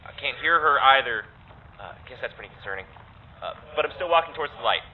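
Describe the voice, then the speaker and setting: An actor playing a snarky, uneasy young man exploring a strange mindscape in an audio drama, speaking in a halting way. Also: mid-range voice, lively intonation, close to an everyday voice. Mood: wary, subdued. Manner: hesitant, halting, sardonic. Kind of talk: naturalistic audio drama acting. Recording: tinny phone-like filter with background noise.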